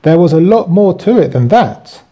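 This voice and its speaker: A man reading his own written teaching aloud as host, in a deliberate, explanatory way. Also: high voice, animated, dark tone.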